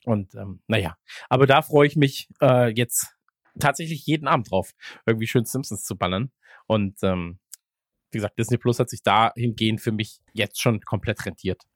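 A man's voice: fairly low pitch, moderate pace, no room sound, studio quality. A man chatting casually as a podcast host, rambling along with ironic jokes. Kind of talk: casual chat.

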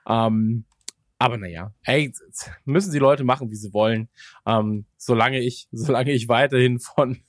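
A man's voice: medium pitch, normal speed, no room sound, studio quality. A man chatting casually as a podcast host, rambling along with ironic jokes. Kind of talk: casual chat.

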